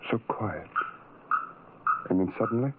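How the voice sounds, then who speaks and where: A tormented outcast, thin radio sound. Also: male voice, on the low side, lively intonation, measured pace, narrow, tinny audio, heavy background noise. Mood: brooding, sorrowful. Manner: anguished, brooding, repeats phrases obsessively. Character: tormented, self-loathing ugly man, a sideshow freak narrator. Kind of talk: 1970s radio drama acting, first-person monologue. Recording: thin vintage radio sound, music underneath.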